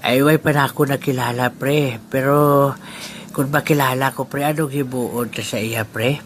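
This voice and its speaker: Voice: medium pitch, fairly even intonation, a little hiss or hum, close to an everyday voice. A performer voicing a grumbling, aggrieved old man in an amateur radio play. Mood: tipsy, grumbling. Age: over 60. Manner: complaining and mournful, quick to anger. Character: grieving, grumbling old man robbed of his pots.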